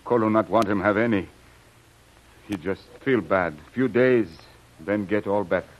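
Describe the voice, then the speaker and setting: Man playing deep-voiced, loyal manservant in broken English. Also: normal speed, lightly acted. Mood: stoic, reassuring. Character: loyal native manservant, gruff, stoic, devoted to his master. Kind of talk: 1930s radio serial acting. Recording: dull old lo-fi radio recording.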